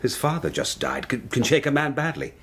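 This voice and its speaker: An actor playing a cautious, evasive British intelligence official in a radio drama, measured, formal and placating. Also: male voice, medium pitch, big pitch swings, noisy background.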